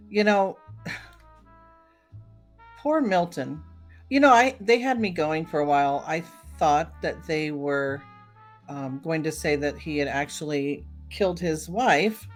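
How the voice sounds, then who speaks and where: A woman's voice: deep voice, lively intonation, measured pace, long pauses, soft background music. A woman hosting a podcast, chatting casually to her listeners. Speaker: podcast host.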